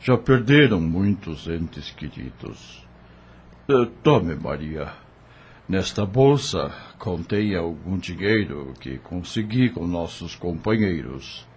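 An actor with a Brazilian accent playing a grave, kindly helper of fugitives in a radio drama, speaking in a measured, solemn way. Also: male voice, low-pitched, animated, a little mellow, slight background noise. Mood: solemn, urging.

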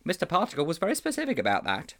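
A man's voice: a little high, rapid-fire, pitched above the performer's own voice, exaggerated voice. A man voicing an earnest, anxious older schoolteacher who speaks formally and a little fussily. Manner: formal, slightly fussy, animated.

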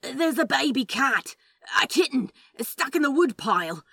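A woman playing an anxious, soft-hearted man in an audio story, earnest and hesitant. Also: high voice, very animated, measured pace, husky, dry recording, clean studio sound, full character voice. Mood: urgent, worried. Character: anxious, soft-hearted man excited over a stray kitten. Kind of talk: audio drama acting in fan fiction.

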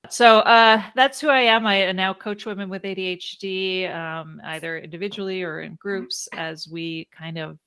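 A woman coach introducing herself in a casual, reflective way, phrasing her thoughts haltingly. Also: mid-range voice, fairly even intonation, pushed and bright, clean tone. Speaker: ADHD coach for women. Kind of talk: casual personal introduction in a group conversation.

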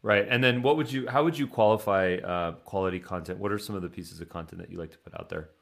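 A man's voice: deep voice, fast, gravelly. A man interviewing a guest in a conversational way, often restarting his phrases as he talks.

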